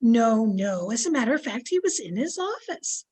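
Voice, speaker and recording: Woman acting a scheming elderly aunt, raised husky voice, sweetly confiding, coy, old-time radio comedy. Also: fairly high pitch, very animated, normal speed, a little mellow. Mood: innocent, sly. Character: sly, flattering old aunt playing matchmaker. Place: home, online video-call production.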